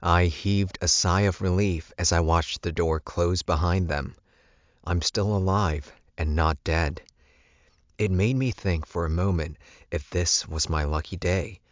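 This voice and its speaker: Male audiobook narrator for first-person fiction: deep pitch, very dry, slightly dull recording. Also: dry recording.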